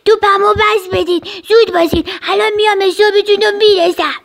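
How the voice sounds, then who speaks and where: A blustering young rhino bully from a children's story, acted out by a woman narrator. The voice is high and creaky, demanding and greedy, like a spoiled child. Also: expressive. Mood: angry, demanding. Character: bossy greedy young rhinoceros bully, whiny when upset.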